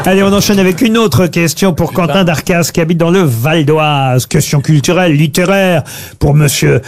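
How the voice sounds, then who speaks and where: A man with a high voice hosts a lively radio panel show. He moves between banter with his guests and reading out quiz questions in a conversational, comedic style. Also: normal speed, background noise.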